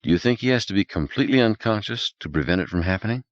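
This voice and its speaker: A man voicing Beth, a brisk and blunt adult woman, in an audiobook reading. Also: lowish voice, lively intonation, no echo, highs cut off, pitched above the performer's own voice, lightly acted.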